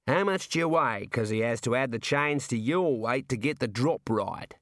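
A man's voice: mid-range voice, animated, moderate pace, fairly bright tone, no room sound, clean studio sound, natural voice. A man voicing a cheerful, chatty prison warder with an Australian accent and a breezy, matey manner, in a storybook reading. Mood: jovial. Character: cheerful chatty male prison warder, jovially morbid, folksy.

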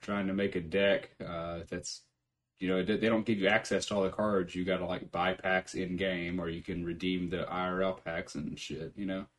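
Male podcast host, low-pitched, relaxed and profane, quick to laugh, home computer mic. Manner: laid-back, swears freely, laughs often. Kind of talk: casual chat.

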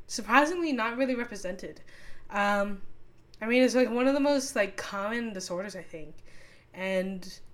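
A young woman hosting a casual solo podcast, talking with a sore throat and rambling through many fillers and restarts. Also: mid-range voice. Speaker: podcast host.

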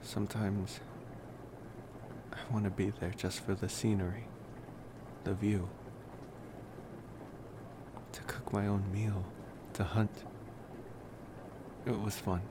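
A man playing a gentle, wistful college vampire boyfriend in an intimate ASMR roleplay, rambling hesitantly in a soft-spoken way. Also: on the low side, flat delivery, takes it slowly, pauses often, background noise.

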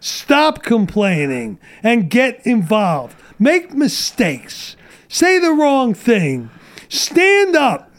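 High-pitched blunt ranting man. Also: huge pitch swings, very slow. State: losing his voice. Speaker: guest. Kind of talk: casual podcast chat.

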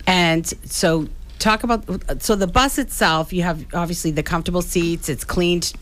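A woman with a fairly low-pitched voice hosts a talk show. She speaks in a conversational interviewing style, as a presenter talking to a guest and to listeners. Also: moderate pace, fairly bright tone. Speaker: radio talk show host.

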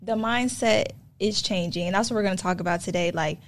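A woman's voice: medium pitch, brisk. Young woman hosting a chill podcast, lots of "like", laughs easy. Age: 20s. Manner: chatty, lots of "like", laughs often. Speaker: podcast host. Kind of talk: casual chat.

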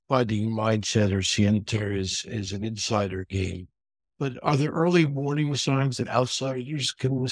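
Husky man hosting a podcast interview. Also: mid-range voice, dry recording. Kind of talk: conversational interview questioning.

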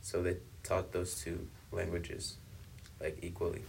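A man's voice: sits low, monotone, measured pace, vocal fry, a little mellow, a little hiss or hum. A young man from South Africa whose first languages are Swahili and Kibembe, chatting casually as an interview guest. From South Africa, born in Congo. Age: young adult. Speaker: podcast guest, university student.

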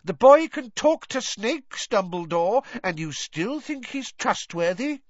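A man's voice: sing-song, measured pace, a bit bright, dry recording, dull audio, full character voice. A man voicing a blustering, pompous, stubborn male wizard politician in an indignant audiobook performance. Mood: affronted, angry. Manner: indignant, pompous, shrill when outraged.

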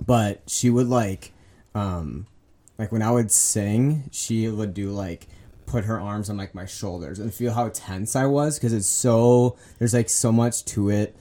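A man's voice: on the low side, a little slow, dark tone. A man co-hosting a podcast, chatting agreeably with many fillers. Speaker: podcast co-host. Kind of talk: casual chat.

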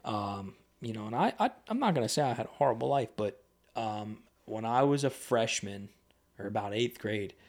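Low-voiced young man, rambling casually. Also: expressive, moderate pace, darkish tone. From United States. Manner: rambling, loose, lots of fillers and restarts. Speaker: podcast guest. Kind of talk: casual conversation. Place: podcast studio.

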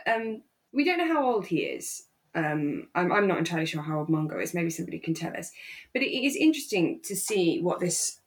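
A woman with a slightly low voice chats as a podcast co-host. She talks casually and reflectively, working out her thoughts aloud and often circling back over a phrase.

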